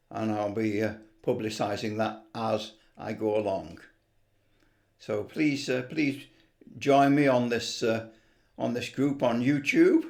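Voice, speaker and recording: A man's voice: medium pitch, vocal fry, darkish tone. A man giving a casual, unscripted screen tutorial, rambling a little and muttering to himself as he works. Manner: unscripted, rambling, talks to himself while working. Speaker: amateur video presenter, CB radio hobbyist.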